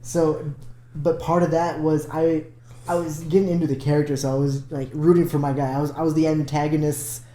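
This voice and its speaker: A man with a fairly high voice tells stand-up-style stories, acting out the quotes and laughing along. The room around him is echoey. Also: brisk. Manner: animated storyteller who acts out quotes and laughs. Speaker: comedian. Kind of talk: casual chat. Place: room in Fresno. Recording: roomy echo.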